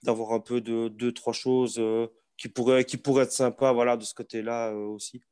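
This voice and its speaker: A football journalist from Belgium with a Belgian French accent, chatting casually on a video call, relaxed and rambling with restarts. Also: male voice, medium pitch, normal speed, smooth.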